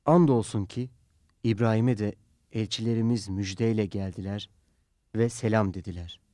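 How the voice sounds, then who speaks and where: A man's voice: on the low side, moderate pace, warm tone, no echo, clean studio sound. A man reciting translated scripture in a solemn, reverent and dramatic manner. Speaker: reader.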